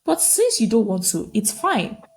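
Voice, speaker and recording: A woman's voice: a little high, big pitch swings, measured pace, darkish tone. A woman storyteller voicing a cunning, pushy young woman, a scheming false friend in a storybook tale, coaxing and calculating. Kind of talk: storybook character dialogue.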